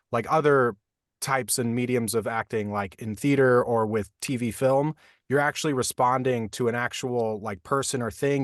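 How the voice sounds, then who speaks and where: A man who works as a voice actor, explaining his craft in a relaxed, thoughtful way with many fillers, from a home recording booth. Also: mid-range voice, no echo, clean studio sound. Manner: thoughtful, frequent fillers like "like" and "you know". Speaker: voice actor guest. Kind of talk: casual interview answers.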